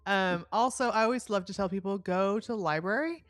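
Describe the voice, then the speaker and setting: A woman's voice: mid-range voice, a little fast, dry recording. Enthusiastic middle-aged woman, dry close sound. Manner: enthusiastic, encouraging. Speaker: podcast host. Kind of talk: casual interview chat.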